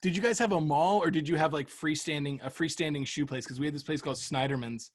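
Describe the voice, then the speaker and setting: A man in his thirties hosts a podcast and tells rambling childhood stories. He laughs often, and his voice sits a little higher than most men's. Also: fast talker. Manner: chatty storyteller, laughs often. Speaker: podcast host. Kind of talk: casual chat.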